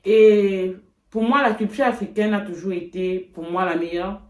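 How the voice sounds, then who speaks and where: A woman from Cameroon, chatting reflectively in a casual couple vlog, with frequent pauses. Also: medium pitch, darkish tone, roomy sound. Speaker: vlogger.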